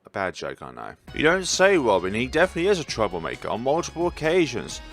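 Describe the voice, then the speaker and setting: Animated man doing casual game commentary. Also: mid-range voice, fast. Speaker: video game playthrough narrator. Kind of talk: casual let's-play commentary, reading dialogue aloud. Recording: background game music. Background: music from the visual novel.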